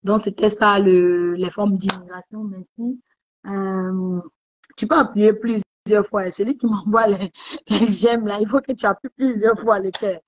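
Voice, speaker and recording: A woman hosting a casual motivational livestream, chatting warmly and repeating herself, with many fillers. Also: medium pitch, steady tone, unhurried, no echo, dull audio. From West Africa. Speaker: livestream host.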